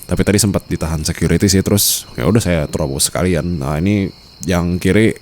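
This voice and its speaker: A man with an Indonesian accent acting a smitten, protective mafia boss in an intimate ASMR boyfriend roleplay, murmuring softly and teasingly. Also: low voice, fairly quick, lightly acted. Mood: playful, nonchalant. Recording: faint night-time insect ambience noise.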